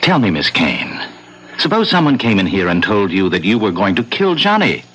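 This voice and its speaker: Creepy mocking spirit tempter, 70s radio drama, low sharp raspy, voice swoops around. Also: male voice, wildly swooping pitch, normal speed, full character voice, poor audio. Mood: needling. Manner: sardonic, needling. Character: sly, mocking otherworldly male tempter. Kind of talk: 1970s radio drama acting. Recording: vintage 1970s radio broadcast.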